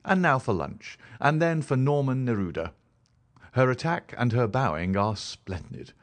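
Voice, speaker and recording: A man voicing a sharp, clever male detective who asks quick, commanding questions. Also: mid-range voice, expressive, pitched above the performer's own voice, close to an everyday voice. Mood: brisk. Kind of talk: audiobook character voice.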